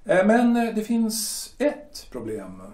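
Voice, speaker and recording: An actor playing a shipyard director who talks in a measured, hesitant way with drawn-out pauses. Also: male voice, sits high, wildly swooping pitch, a little mellow, far from the mic. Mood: cool, probing. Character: smooth, veiled-threatening male shipyard director. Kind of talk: amateur audio drama acting. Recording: roomy echo, voice set back from mic.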